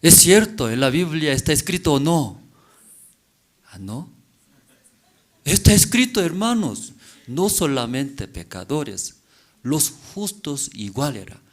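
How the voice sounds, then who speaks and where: A male pastor delivering a sermon, constantly asking the congregation rhetorical questions and checking in with a quick "mm?". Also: a little high, very animated.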